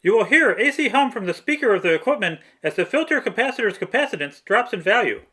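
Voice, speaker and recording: A man's voice: high voice, bright, forceful tone. A man reading a scripted, step-by-step technical tutorial narration.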